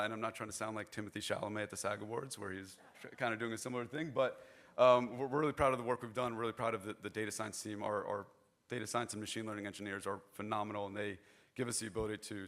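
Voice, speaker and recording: Hoarse young guy presenting at a conference, quick and gravelly, kinda low voice, keeps restarting bits. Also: steady tone, fairly bright tone. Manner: chatty, informal, restarts phrases often. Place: conference hall stage.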